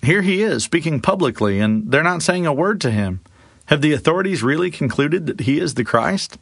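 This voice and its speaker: Man as skeptical male townsperson in Bible storytelling, higher-pitched, questioning, dry sound. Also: lively intonation, normal speed, vocal fry, a bit bright, breathy edge, dry recording, heavily acted. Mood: puzzled, skeptical. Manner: raised, questioning. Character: puzzled, questioning townspeople in a crowd.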